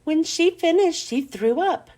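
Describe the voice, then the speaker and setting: A woman hosting a children's read-aloud, telling a storybook with expressive, emphatic delivery. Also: very high-pitched, measured pace.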